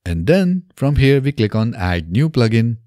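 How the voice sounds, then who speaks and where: A man in his thirties presenting a software tutorial step by step in a methodical way, recorded close on a desk microphone in a small home studio. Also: mid-range voice, sing-song, measured pace, dry recording. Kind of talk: scripted software tutorial narration. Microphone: close desk microphone on boom arm.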